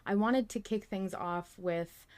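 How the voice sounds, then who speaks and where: A woman with an average-pitched voice hosts a podcast. Her delivery is casual and conversational, as in a chat among fans. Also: a little slow, darkish tone. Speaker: podcast host. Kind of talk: casual hosting.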